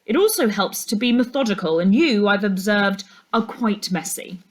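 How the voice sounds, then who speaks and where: A woman's voice: medium pitch, lively intonation, normal speed, close to an everyday voice. Woman voicing an exasperated, pompous schoolmaster. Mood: dismissive, pompous. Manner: pompous, emphatic lecturing. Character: exasperated, stern male schoolteacher, dismissive and pompous.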